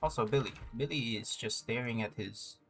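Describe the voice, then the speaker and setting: Male game streamer, slangy and playful, mid-pitched, game music in the background. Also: normal speed, vocal fry. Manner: slangy, joking, reads dialogue aloud. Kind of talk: casual game livestream commentary.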